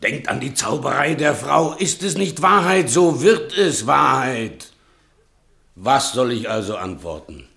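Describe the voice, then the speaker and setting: An actor playing a sly manservant in a vintage German radio play, dry and deliberate, respectful yet needling. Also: male voice, medium pitch, big pitch swings, vocal fry, bright, forceful tone, heavily acted. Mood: sly, deferential. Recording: vintage 1960 radio drama recording.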